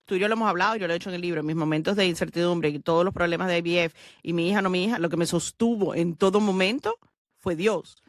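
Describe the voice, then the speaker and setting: A woman hosting a podcast interview, asking questions in an animated way and acting out quoted speech. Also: on the low side, fast talker, no echo, clean studio sound. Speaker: podcast host. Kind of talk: conversational interview.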